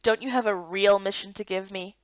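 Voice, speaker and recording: A woman voicing a spirited, incredulous young guard recruit in a visual novel game, expressive and questioning. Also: mid-range voice, a little fast, no echo, dull audio, lightly acted. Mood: indignant.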